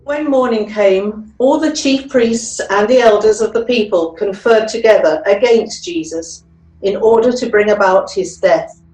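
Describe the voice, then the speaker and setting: A woman's voice: medium pitch, measured pace, a little mellow. A woman narrating a scripture reading as a church member reader. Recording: echoey room.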